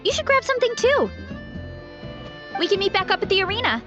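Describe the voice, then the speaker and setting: Casting: sporty young tribe girl for a game, high-pitched, very animated, breezy and friendly, music underneath. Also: a little fast, lo-fi sound. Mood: hungry, playful. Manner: breezy, casual, dramatic about small things. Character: energetic athletic young tribeswoman, friendly, food-loving, laid-back yet headlong. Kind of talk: video game voice acting.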